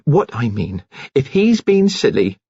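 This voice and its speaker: A man's voice: medium pitch, sing-song, unhurried, dark tone, husky, dry recording, lo-fi sound, voice pushed up, exaggerated voice. A man voicing a prim, moralising, indignant woman nurse in a genteel scolding manner, as an audiobook character. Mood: reproving.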